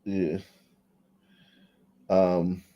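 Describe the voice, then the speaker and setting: A man's voice: low-pitched, flat delivery, very slow, muffled recording. A man giving blunt, opinionated commentary in a casual chat, often restarting his sentences.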